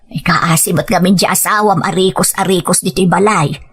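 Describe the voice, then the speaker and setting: A woman playing a gentle, plaintive elderly mother with an Ilocano Filipino accent in a sentimental audio drama. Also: on the low side, steady tone, brisk, slightly rough. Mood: weary, gentle.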